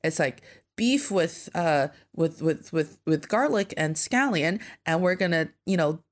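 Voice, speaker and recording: A woman's voice: deep voice, animated, unhurried. A woman from northeast China chatting casually as a podcast co-host. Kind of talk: casual conversational podcast chat.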